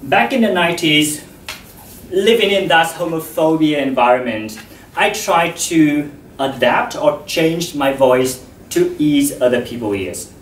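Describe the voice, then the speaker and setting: Guy giving a talk, slow n deliberate, pitch swoops around a lot, sounds far off in a big echoey hall. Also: expressive, a little hiss or hum. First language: Vietnamese. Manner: slow and deliberate, with frequent pauses. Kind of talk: public talk to an audience.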